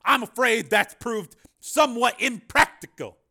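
A cool, manipulative politician, as the narrator plays him, speaking slowly and wryly with a quietly menacing calm. The voice sits a little above the reader's own and carries a bright edge. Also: male voice, very high-pitched, vocal fry, voice pushed up, full character voice. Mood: calmly menacing. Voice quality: calm and wry.

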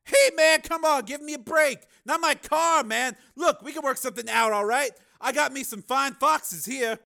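A flashy male street hustler from an action audiobook, put on by a man narrating. His voice sits far up in pitch with a sharp edge, and he wheedles and pleads uneasily in loose slang. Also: moderate pace, gravelly, full character voice. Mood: indignant, pleading.

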